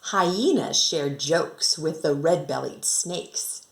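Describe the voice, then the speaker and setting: A woman's voice: sits low, slow, vocal fry. An American woman working as an elementary teacher, reading a picture book to children expressively and rhythmically, with casual explanatory asides. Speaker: second grade teacher reading aloud to pupils.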